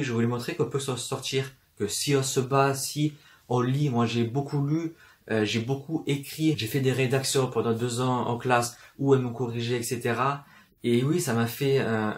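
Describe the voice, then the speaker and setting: A young man from the south of France with a southern French accent, talking to camera in a personal vlog, hesitant, with many fillers and restarts and an occasional stutter. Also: mid-range voice. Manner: stutters at times. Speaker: book vlogger. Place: room full of books, indoors.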